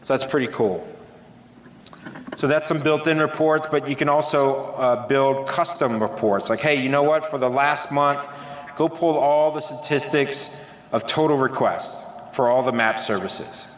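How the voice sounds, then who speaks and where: A man giving a relaxed, casual technical demo at a conference, with many ums and uhs. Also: mid-range voice, measured pace, roomy sound, long reverb tail. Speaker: conference presenter. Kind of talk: technical software demo talk. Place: large conference hall.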